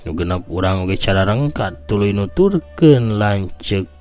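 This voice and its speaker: A man's voice: fairly low pitch, animated, a little slow, darkish tone, soft background music. A man with a Sundanese accent narrating a scripted folk tale in a dramatic, theatrical storytelling style. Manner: theatrical, with voiced sound effects.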